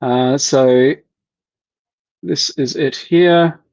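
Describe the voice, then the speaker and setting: A man's voice: mid-range voice, darkish tone, muffled recording. A man giving a live online developer presentation, talking through a demo with lots of ums, uhs and restarts. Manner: halting, many fillers and restarts.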